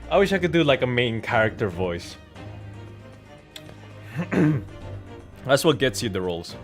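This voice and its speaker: A man's voice: mid-range voice, sing-song, unhurried, soft background music. A man streaming a game with casual, joking, slangy live commentary. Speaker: streamer and amateur voice actor.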